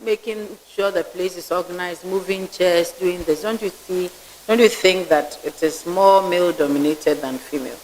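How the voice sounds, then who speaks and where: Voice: medium pitch, moderate pace, darkish tone, a little hiss or hum, rough recording. A vetting panelist, asking blunt, probing questions and giving critical remarks. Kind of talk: interview questioning.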